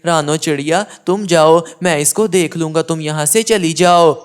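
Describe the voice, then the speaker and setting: A man's voice: fairly high pitch, normal speed, clear, resonant tone, lightly acted. A man voicing a timid, pleading male pigeon in a cartoon story, with a plaintive way of talking. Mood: anxious.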